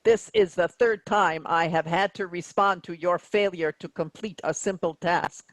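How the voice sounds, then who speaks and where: A woman's voice: fairly low pitch, fairly even intonation, normal speed, no echo, close to an everyday voice. A woman playing a stern, coldly officious robot captain of a spaceship in a staged play reading, with a formal, rigid delivery.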